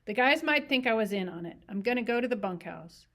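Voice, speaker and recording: A woman's voice: a little high, expressive, a little fast, a bit bright. A woman voicing a worried male ranch worker who gives urgent, clipped instructions, in a storybook reading.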